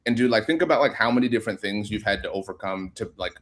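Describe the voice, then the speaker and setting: A man's voice: on the low side, fast talker. A man speaking as a podcast guest, thoughtful and encouraging, with many "like" fillers. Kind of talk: casual podcast chat.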